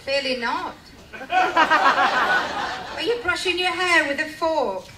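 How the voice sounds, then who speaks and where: A woman playing a bemused young woman in an amateur radio play, with an exaggerated, drawn-out delivery. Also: high-pitched. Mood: teasing. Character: Jilly, bemused young woman seeking hidden friends in a game. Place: public lounge on a cruise ship. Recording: echoey distant mic, loud crowd and noise.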